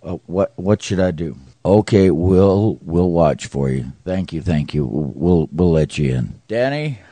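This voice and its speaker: A man's voice: deep voice, animated, a little slow, dark tone, dry recording, exaggerated voice. A man playing a flustered, sheepish bank night watchman in a radio play, stammering and hesitant. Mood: nervous, flustered.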